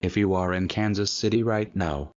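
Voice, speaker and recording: A man playing a calm, serious field correspondent, giving a measured, formal scripted news report in dull audio. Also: low voice, no echo, close to an everyday voice.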